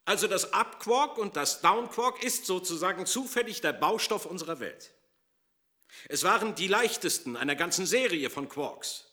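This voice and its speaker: A man's voice: high voice, expressive, normal speed, sharp tone. A man giving an unscripted explanation in an emphatic manner, restarting his sentences as he goes.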